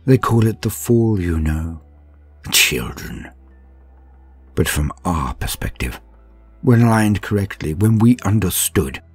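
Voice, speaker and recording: Man voicing a deep husky, arrogant ancient alien aristocrat. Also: no echo, full character voice. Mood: defiant, reverent. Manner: grandiose, haughty, theatrical, with slow pauses and hushed drops. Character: arrogant, decadent ancient alien aristocrat, male, cruel and hedonistic. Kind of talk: dramatic first-person scripted horror storytelling. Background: music.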